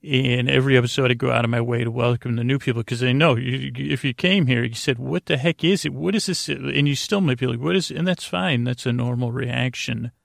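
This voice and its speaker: Creaky-voiced, meandering male podcast host. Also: medium pitch, no room sound, studio quality. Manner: meandering, hesitant, halting self-interruptions. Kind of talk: rambling, tangent-filled bedtime podcast monologue.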